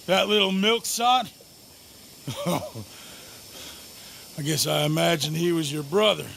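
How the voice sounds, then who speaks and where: Remorseless Western game villain: highish, creaky, mocking, savors every word. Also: male voice, lively intonation, a bit bright, a little hiss or hum, exaggerated voice. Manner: mocking, drawn-out. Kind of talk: dramatic video game acting.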